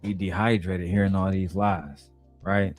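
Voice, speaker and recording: A man's voice: low-pitched, fairly even intonation, a little slow, faint music behind. A man streaming, reacting to video clips with blunt, profane, mocking commentary.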